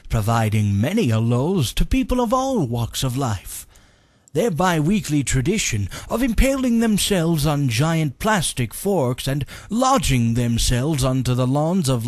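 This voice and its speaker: A man voicing a melodramatic soothsayer of the 1830s, narrating a dark storybook legend in a grandiose, ominous way with a husky voice. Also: fairly high pitch, no echo. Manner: grandiose, ominous, drawn-out dramatic phrasing. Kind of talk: theatrical comic storybook narration.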